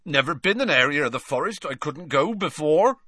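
A man voicing a huge, soft-hearted male groundskeeper, tearful and choked up, speaking in a folksy way, raised above his own voice. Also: on the high side, expressive, fairly quick, husky, no room sound, clean studio sound, pitched above the performer's own voice, exaggerated voice.

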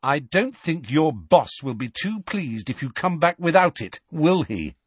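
A man voicing a reckless teenage boy wizard, talking fast and defiantly to buy time. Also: a little high, big pitch swings, husky, dry recording, voice pushed up, full character voice. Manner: brash, talking fast to stall. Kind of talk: audiobook character voice.

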